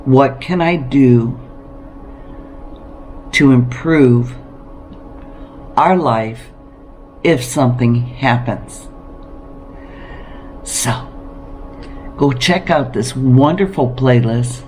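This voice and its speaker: A woman talking casually to camera about practical living as a homesteading vlogger, encouraging and emphatic, with deliberate pauses. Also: a bass voice, a little mellow, a little hiss or hum. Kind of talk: casual preparedness talk to camera.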